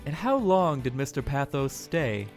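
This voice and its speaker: A man's voice: mid-range voice, very animated, a little slow, faint music behind. A man playing a cool, exacting prosecutor in an audio drama, speaking formally and with composure. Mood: cool, insistent.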